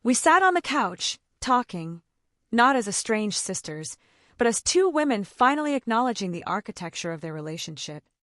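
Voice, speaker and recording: High, very animated woman narrator. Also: normal speed, dry recording, studio quality. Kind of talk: dramatic first-person scripted narration.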